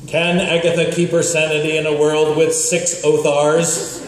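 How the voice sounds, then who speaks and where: A man's voice: on the high side, little pitch movement, normal speed. A man announcing a live radio theatre show in a bombastic mock old-time serial style. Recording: echoey hall, distant mic, faint noise.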